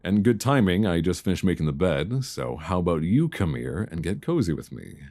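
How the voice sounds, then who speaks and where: Guy doing a cozy bookish boyfriend asmr, really low warm voice, gentle and soothing, close to the mic. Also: sing-song. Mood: affectionate, playful. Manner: soft, tender, unhurried, soothing. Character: affectionate, bookish boyfriend soothing his partner at bedtime. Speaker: ASMR roleplay performer. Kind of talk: intimate ASMR roleplay. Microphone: close binaural microphone.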